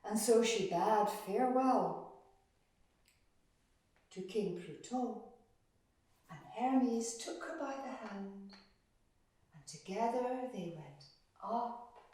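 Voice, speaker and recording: Female children's storyteller, deliberate and dramatic with long pauses, heard from a distance in a very reverberant room. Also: fairly high pitch, lively intonation, mellow. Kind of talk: oral myth storytelling for children. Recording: very echoey room, distant microphone.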